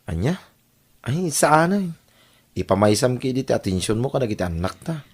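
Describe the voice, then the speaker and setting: A man's voice: mid-range voice, big pitch swings, normal speed, breathy edge. A man with a Filipino accent playing a hard-up, caring husband and father in an audio drama. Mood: concerned. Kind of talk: Ilocano audio drama acting.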